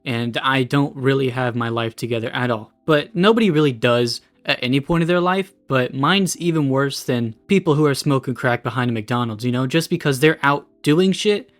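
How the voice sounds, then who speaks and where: A man's voice: medium pitch, normal speed, hardly any pauses. A man in his late teens giving a casual, candid, self-deprecating monologue as a streamer, swearing freely and sounding discouraged. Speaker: streamer and video creator.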